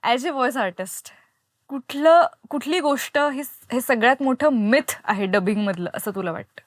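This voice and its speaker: A woman's voice: on the high side, unhurried, dry recording, clean studio sound. A woman with an Indian accent hosting a casual podcast interview, giggly and slipping English into her talk. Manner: giggly, code-mixes with English. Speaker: podcast host.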